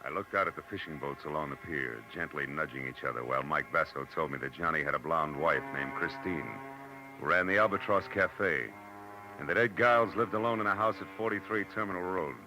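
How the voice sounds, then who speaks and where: An American actor playing a tough, wisecracking, world-weary private detective in a 1940s radio drama, clipped and dry. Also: male voice, extremely low pitch, fairly quick, over music, poor audio. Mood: cool narrating. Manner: clipped, dry, hard-boiled. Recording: old radio recording, faint noise.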